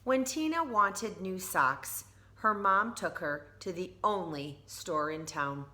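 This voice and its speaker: Female primary teacher for storybook read-aloud: bright, measured pace, expressive, engages young listeners with questions. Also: on the low side. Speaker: elementary teacher reading aloud.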